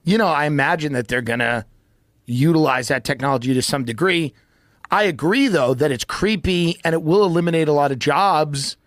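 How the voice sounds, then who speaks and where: A man's voice: on the high side, normal speed, no echo, studio quality. An American man in his thirties chatting as a podcast guest in a casual, sardonic, joking way. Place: indoor podcast studio. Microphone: close dynamic microphone on arm, headphones.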